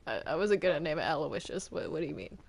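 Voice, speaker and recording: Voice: medium pitch, very animated, fast talker, vocal fry. A player chatting casually through an improvised Minecraft roleplay, hesitant and often repeating words over a gaming microphone. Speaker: player in a group game roleplay. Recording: noisy home game recording with clicks.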